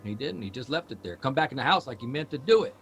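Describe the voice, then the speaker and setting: Restless writer guy in an audio drama, rambly and unsure of himself, mid-pitched, bit of music behind. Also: big pitch swings. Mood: wry, exasperated. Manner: halting, rambling, self-questioning. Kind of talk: live audio drama reading.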